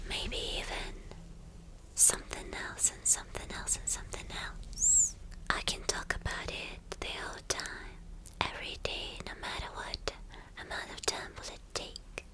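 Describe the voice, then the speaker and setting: A woman voicing a vain, obsessive villain girlfriend in a breathy, lingering ASMR roleplay whisper. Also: natural voice. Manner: slow, breathy, lingering, repeating phrases.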